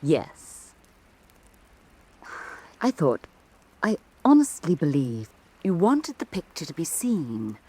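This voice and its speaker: A woman's voice: deep voice, wildly swooping pitch, slow, pauses often, dark tone, husky, heavily acted. An actress playing an earnest, pragmatic woman who placates and pleads carefully in a radio drama. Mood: apologetic. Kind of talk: theatrical radio drama acting.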